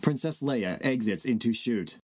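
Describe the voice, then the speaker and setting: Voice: medium pitch, animated, measured pace, darkish tone, slightly rough, no room sound, clean studio sound. A man reading out stage directions as scripted narration for a dramatic reading.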